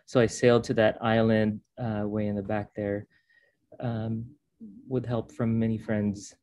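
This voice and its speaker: A man from the Philippines presenting his art on a video call, hesitant with many pauses and fillers. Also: on the low side, level tone, mellow. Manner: hesitant, many ums and uhs. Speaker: artist. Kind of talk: artist talk on a video call.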